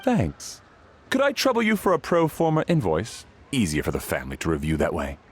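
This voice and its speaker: An actor playing a polite, worried household butler in a fantasy video game, speaking in a deferential, formal and hesitant way. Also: male voice, fairly low pitch, sing-song, fairly quick, slight background noise. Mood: courteous. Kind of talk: scripted video game dialogue.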